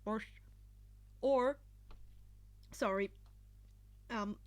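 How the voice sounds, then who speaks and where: A woman reading fiction aloud with expressive, dramatic narration and a casual, informal touch. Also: on the high side, extremely slow. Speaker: podfic reader.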